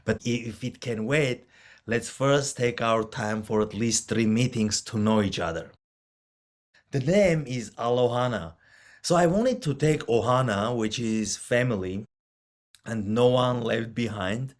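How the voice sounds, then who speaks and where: A man's voice: medium pitch, unhurried. A man who works as a financial advisor, a guest on a podcast, explaining things in an earnest, careful way. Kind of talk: conversational interview.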